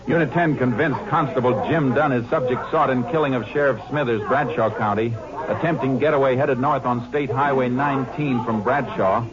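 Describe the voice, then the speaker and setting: A man's voice: mid-range voice, normal speed, crowd noise, natural voice. An American man playing a tough, determined Texas Ranger in 1950s radio drama, speaking tersely and with command. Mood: urgent. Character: tough, determined male Texas Ranger narrating his case. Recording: dull old radio recording with music.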